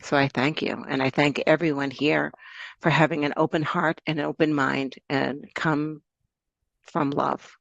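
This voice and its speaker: An American woman gives her introduction as a summit guest. Her voice is gravelly and dry, with no room sound around it. She talks in an earnest, grateful and slightly meandering way. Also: low-pitched, flat delivery, a little slow, dry recording, lo-fi sound. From United States. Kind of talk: self-introduction on a video call.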